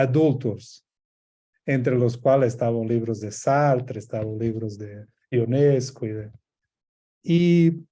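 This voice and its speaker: A native Portuguese-speaking man with a Portuguese accent and Rioplatense traces, giving a reflective personal talk in a halting, thoughtful way. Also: on the high side, dark tone. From Portuguese-speaking Africa. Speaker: guest speaker, coach. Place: at home, by bookshelves, on a video call.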